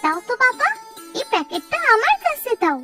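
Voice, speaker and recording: Casting: woman as small cartoon sister, extremely high lively voice, caring, slightly distant with music. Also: brisk, heavily acted. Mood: eager, caring. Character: cheerful, caring little village girl. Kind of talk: cartoon voice acting.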